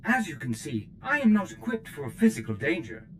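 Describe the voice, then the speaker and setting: A servile steward droid in a video game, prim, chirpy and desperate to please. The voice sits high and leaps wildly up and down as he fusses over every detail, with a faint hiss underneath. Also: sing-song, normal speed. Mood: eager servile. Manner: obsequious, formal and chirpy. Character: fussy male steward droid, eager to serve.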